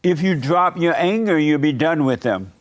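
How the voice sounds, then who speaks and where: A man's voice: fairly high pitch, lively intonation, moderate pace, highs cut off. A man hosting an interview and giving preacher-like counsel in a blunt, assured and insistent way, repeating his points. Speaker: talk show host.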